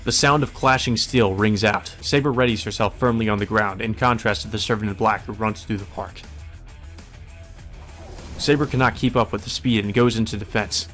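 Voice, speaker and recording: A man's voice: fairly low pitch, normal speed, fairly bright tone, faint music behind. A man reading visual novel narration aloud in a dramatic first-person storytelling style for a let's play.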